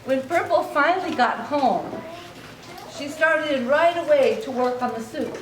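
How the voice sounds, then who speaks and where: A woman reading a story aloud to young children in an expressive way, with little asides to her listeners. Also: high-pitched, measured pace. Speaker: children's author reading own book aloud. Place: book signing event in an echoey room. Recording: echoey room recording with clear noise.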